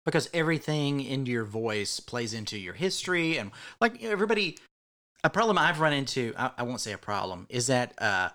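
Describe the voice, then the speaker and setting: A man's voice: medium pitch, very animated, a little fast, bright, forceful tone. A man with a Southern US accent who runs live-action games, chatting casually on a podcast and often correcting himself as he goes. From Tennessee. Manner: rambling, self-correcting. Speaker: larp game runner.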